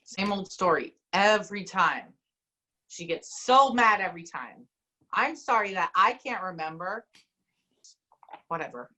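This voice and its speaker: A woman's voice: mid-range voice, expressive, unhurried, long pauses, bright, forceful tone, pitched below the performer's own voice. A woman voicing a forgetful young man who is apologetic, hesitant and tearful, in an amateur audio drama. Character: guilt-ridden young man, forgetful friend.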